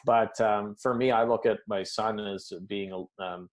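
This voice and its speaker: A middle-aged man with a Canadian Maritime accent talks in a conversational, upbeat way, dropping folksy sayings. His voice sits a little low. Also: steady tone, unhurried. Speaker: business coach, guest. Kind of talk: casual interview answers.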